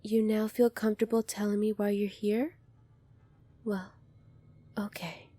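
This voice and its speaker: A woman playing a gentle, earnest young alien princess in an ASMR roleplay, speaking softly and politely to the listener. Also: medium pitch, flat delivery, unhurried, husky. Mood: warm, reassuring. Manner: soft-spoken, polite, earnest, apologetic.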